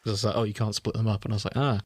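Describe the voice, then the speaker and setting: A man's voice: lowish voice, steady tone, very fast, no room sound. Man chatting casually, dry close sound. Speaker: podcast co-host. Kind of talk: casual chat.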